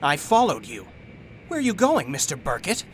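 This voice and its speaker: A man acting a betrayed, indignant executive in a radio melodrama. His high voice swings widely as he insists and pleads desperately, over a faint engine rumble. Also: huge pitch swings, moderate pace, rough recording. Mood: indignant, pleading. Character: desperate, indignant male company president, betrayed. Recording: faint vehicle rumble behind the voice.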